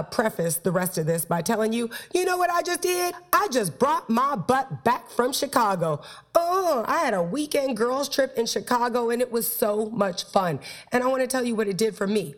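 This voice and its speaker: A woman hosting a playful, flirty talk show about sex and relationships, her voice stuffed up from a cold. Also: a little high. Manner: playful, flirty, talks straight to listeners. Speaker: podcast host.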